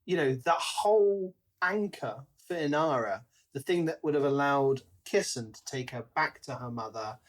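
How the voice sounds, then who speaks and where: A British man co-hosting a podcast, discussing a book casually in an animated storytelling way. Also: medium pitch, normal speed, few pauses, poor audio. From Britain. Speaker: podcast co-host.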